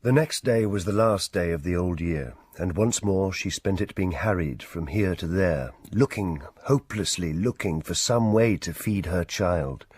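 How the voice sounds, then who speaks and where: An actor narrating a literary radio drama as a measured, grave, husky storyteller. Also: male voice, low-pitched, darkish tone, no echo. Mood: grave, lyrical.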